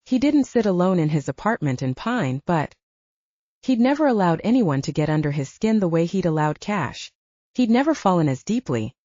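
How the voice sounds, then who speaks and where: Female audiobook narrator, lowish voice with expressive swings, husky, close and slightly muffled. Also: no room sound. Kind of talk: scripted fiction narration.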